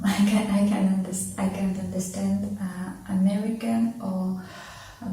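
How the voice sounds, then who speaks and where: Slow, halting woman. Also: medium pitch, dark tone. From Russia. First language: Russian. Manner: halting, searching for words with many fillers. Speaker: interviewee, immigrant learning English. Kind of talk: casual conversation. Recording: echoey room, far from mic, faint noise.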